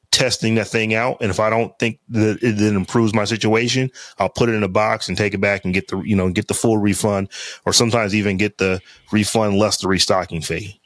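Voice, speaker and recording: Man, deep lowish voice, casual rambling podcast chat, dry close mic. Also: a bit bright, dry recording. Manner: rambling, loose talk with many fillers and restarts. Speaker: podcast co-host. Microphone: close studio mic on a podcast interface.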